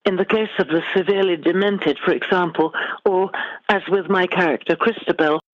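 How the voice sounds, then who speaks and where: A middle-aged British woman, an author giving measured, formally phrased answers in a literary interview. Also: fairly low pitch, dry recording, highs cut off. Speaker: guest author.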